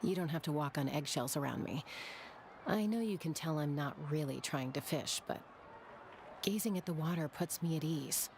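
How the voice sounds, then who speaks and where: A soft-spoken mourner, gravelly edge, noise behind. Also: female voice, deep voice, moderate pace. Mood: subdued. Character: quiet grieving young adult.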